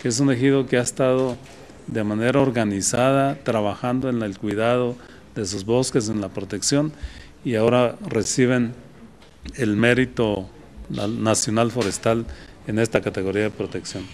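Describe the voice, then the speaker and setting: A man in his sixties with a Mexican Spanish accent, a state forestry official speaking at a press conference in a measured way with some restarts, recorded on desk microphones indoors. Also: mid-range voice, steady tone, a little mellow, slight background noise. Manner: measured, repeats himself, brief restarts.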